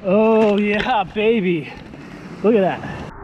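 A man's voice: sits high, slow pace, dull audio. A man giving casual, slangy fishing commentary as an enthusiastic vlog host, often repeating short phrases. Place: outdoors on a rocky ocean beach, wading. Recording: noisy outdoor action-camera audio with surf. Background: waves and surf.

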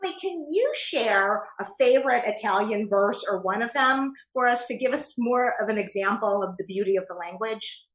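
A woman's voice: on the high side, expressive, normal speed, few pauses. A woman hosting an interview over a video call, asking short questions. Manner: brief prompts and questions. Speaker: host. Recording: roomy video call audio.

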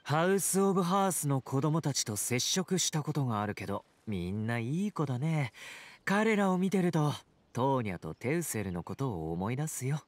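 An actor playing a teasing, playful young fighter in a Japanese game, casual and smooth-talking with an edge. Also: male voice, mid-range voice, big pitch swings, normal speed, close to an everyday voice. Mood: probing. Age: young adult. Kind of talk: Japanese anime-game voice acting.

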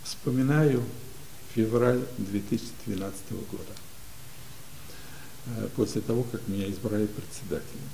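Older man with a dark gravelly voice. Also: fairly low pitch, a little hiss or hum, low-quality recording. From Ukraine. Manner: unhurried, many pauses and hesitations. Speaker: guest, community leader. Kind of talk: relaxed storytelling in an interview. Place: library studio with bookshelves.

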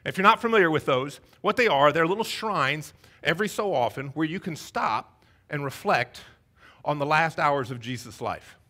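A man in his thirties with a Southern American accent, preaching an energetic, joking Easter sermon on a church stage. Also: on the high side, moderate pace. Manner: energetic, joking, paces the stage with wide gestures. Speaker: pastor. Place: church stage before a congregation.

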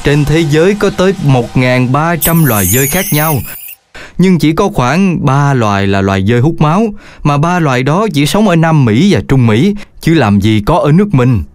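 A man voicing a calm father in a children's cartoon, explaining things. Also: fairly high pitch, no room sound, natural voice. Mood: amused, instructive. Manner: explanatory, unhurried. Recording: music behind the voice.

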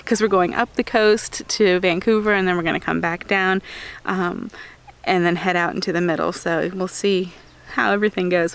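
A woman in her thirties talks easily to a handheld camera outdoors, her voice a little low for a woman. She chats along with small fillers and the odd laugh. Faint outdoor noise sits behind her. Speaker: RV travel vlogger. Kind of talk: casual explanation to camera. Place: outdoors by a pond in a campground. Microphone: camera held at arm's length.

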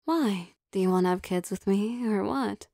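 A woman playing an affectionate, possessive vampire girlfriend in an intimate ASMR roleplay, speaking in a soft, teasing, coaxing manner. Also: mid-range voice, normal speed, gravelly, no room sound, clean studio sound, lightly acted. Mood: relaxed, affectionate. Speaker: voice actor.

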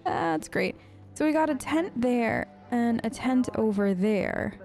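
A woman's voice: fairly high pitch, expressive, takes it slowly, darkish tone, faint music behind. A woman hosting a gaming video, improvising role-play chat in a chatty, polite, rambling way.

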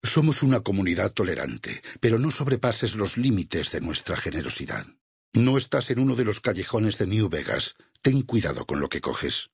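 An actor playing a stern, vengeful religious war leader in a Spanish video game dub, with a Castilian accent, a husky rasp and solemn, preacherly phrasing. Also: male voice, fairly low pitch, very animated, normal speed, no room sound, studio quality, heavily acted. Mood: stern, menacing. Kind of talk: dubbed video game voice acting.

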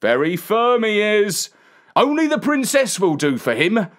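A woman's voice: mid-range voice, big pitch swings, measured pace, pitched above the performer's own voice. A woman voicing a matter-of-fact rustic coachman in a storybook, resigned to his grim orders.

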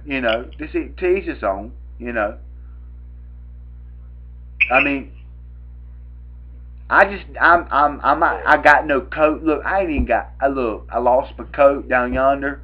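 A man's voice: mid-range voice, breathy edge. A young man with a Southern US accent making a prank call, rambling, repeating himself and stammering. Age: young adult. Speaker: prank caller. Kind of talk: improvised prank phone call. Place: small room at home, indoors. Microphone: webcam at arm's length. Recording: dull, lo-fi webcam audio.

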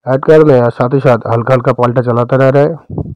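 A man with an Indian accent narrating a recipe step by step as a cooking host, in a smooth, clear voice. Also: medium pitch, fairly even intonation, a little fast, darkish tone, no room sound, muffled recording.

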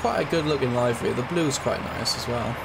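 A young man with a slightly raspy, hoarse voice from a cold, chatting loosely with lots of fillers while playing a train simulator. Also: medium pitch, a little fast. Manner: rambling, chatty, with frequent fillers. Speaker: gaming channel host. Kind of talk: casual commentary over a train simulator. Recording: loud game train noise behind.

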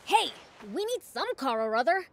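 A woman's voice: extremely high pitch, very animated, normal speed, exaggerated voice. A woman voicing a cocky, eager young demon prince in a video game, with a brash, boyish manner. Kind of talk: video game cutscene acting.

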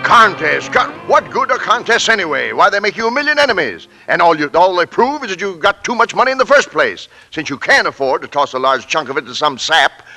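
An actor playing a pompous, sarcastic coffee company president with an American accent, sputtering in 1940s radio comedy style. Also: male voice, sits high, big pitch swings, a little fast, few pauses, bright, forceful tone, faint music behind, exaggerated voice. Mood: exasperated. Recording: vintage 1940s radio broadcast.

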